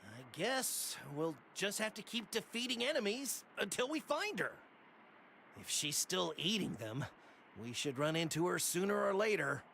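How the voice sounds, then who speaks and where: An actor playing a cautious, wry fighter sidekick in an English anime game dub. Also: male voice, high voice, very animated, moderate pace, gravelly, slight background noise, heavily acted. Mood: resolved. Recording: loud game music behind.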